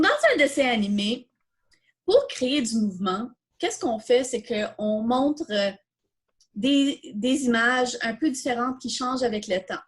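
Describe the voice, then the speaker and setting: A woman with a Quebec French accent teaches a hands-on workshop in a slightly high voice. She takes her time, talking slowly through each step. Her delivery is informal and explanatory, often asking a question and then answering it. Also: fairly bright tone, husky. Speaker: coding workshop instructor. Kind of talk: informal step-by-step tutorial, thinking aloud.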